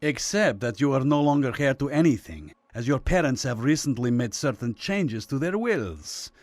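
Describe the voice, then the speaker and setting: A courtly Cold War communist official from Lithuania , acted by a man, in a radio thriller. He talks in measured, formal phrases with quiet menace and condescension, in a medium-pitched voice. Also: animated, dry recording, natural voice. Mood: coolly menacing.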